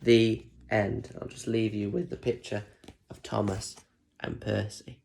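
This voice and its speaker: A man's voice: fairly low pitch, little pitch movement, measured pace, gravelly, a little mellow, slight background noise. Man reading a picture book to children. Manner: explains pictures to young listeners with questions. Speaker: storyteller reading aloud to children. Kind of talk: expressive picture book read-aloud with chatty asides.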